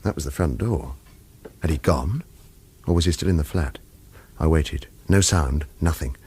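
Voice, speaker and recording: Low, husky, wry thriller hero. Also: male voice, moderate pace, darkish tone, heavily acted. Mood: tense. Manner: terse, dry, sardonic. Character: wry, hard-boiled captive man narrating his own thriller. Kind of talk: scripted first-person radio thriller narration and dialogue.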